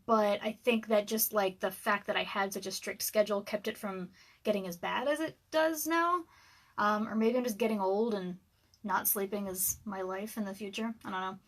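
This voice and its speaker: A woman in her thirties with a scratchy voice, rambling casually on a livestream from her dark room at home, with lots of ums and little laughs. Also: medium pitch. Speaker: author and livestream host. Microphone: webcam livestream.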